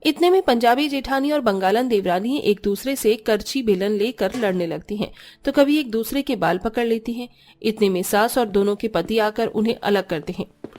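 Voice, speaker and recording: High-pitched woman narrating a cartoon story. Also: normal speed, a little mellow.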